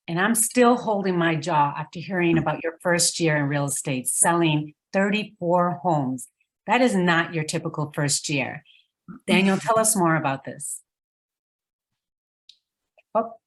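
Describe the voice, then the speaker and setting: A woman moderating a webinar panel, introducing each guest slowly. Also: fairly low pitch. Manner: slow, warm introductions. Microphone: video call.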